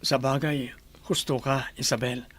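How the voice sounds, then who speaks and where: A man's voice: medium pitch, flat delivery, a little slow, fairly bright tone, dry recording. A man acting a modest, dutiful son and successful businessman, polite and humble, with an Ilocano accent in a radio drama. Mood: respectful.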